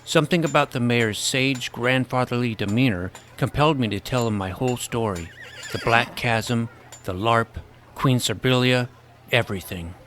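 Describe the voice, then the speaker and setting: A plucky young hero from another world played by a man tells his own story in a fantasy audio drama. The voice is medium-pitched for a man, with scripted storytelling over faint ambient noise. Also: moderate pace, a bit bright, close to an everyday voice. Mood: reflective storytelling. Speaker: narrator and lead character. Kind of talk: first-person scripted fantasy narration with dialogue.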